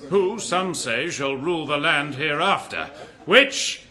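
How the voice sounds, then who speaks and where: Stately, bright-voiced noble king. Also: on the high side, animated, slow pace, soft background music, heavily acted. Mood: proud, proclaiming. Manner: declamatory, stately. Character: noble, commanding king speaking in archaic verse. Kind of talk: classical radio drama acting.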